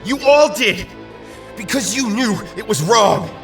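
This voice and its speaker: A man's voice: sits high, lively intonation, unhurried, vocal fry, fairly bright tone, heavily acted. A man playing a firm, principled superhero in an audio drama, earnest, urgent and confrontational. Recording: distant mic, faint music.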